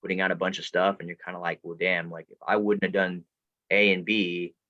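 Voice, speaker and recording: Male guest author from Florida, deep voice, dull call audio, rambly and casual. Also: little pitch movement, brisk, pushed and bright, no echo. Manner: rambling, self-interrupting, swears casually. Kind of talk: casual video-call interview. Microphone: video call.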